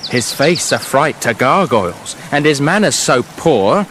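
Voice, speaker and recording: A man's voice: mid-range voice, lively intonation, unhurried, fairly bright tone, slight background noise. An actor playing a fussy, self-important writer narrating his fairy tale in a comic radio play, florid and testy. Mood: florid, dramatic.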